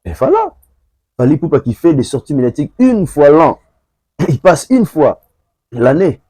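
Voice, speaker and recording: A man from Congo giving emphatic opinion commentary, repeating himself and pausing often with hesitations. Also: on the high side, very animated, mellow, no room sound. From Congo (DRC). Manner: emphatic, repetitive, hesitant with many fillers.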